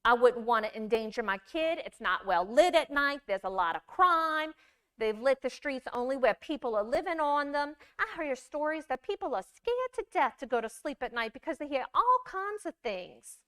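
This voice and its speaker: A woman giving a scripted storytelling performance, with deliberate, measured phrasing and dramatic pauses. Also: high voice, lively intonation, a bit bright, smooth. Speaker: monologue performer.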